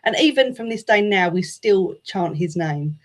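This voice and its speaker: A woman guest on a podcast who talks about football in a relaxed, casual way, filling her answers with um and sort of. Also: medium pitch, normal speed. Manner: relaxed, with many fillers. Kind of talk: casual interview chat.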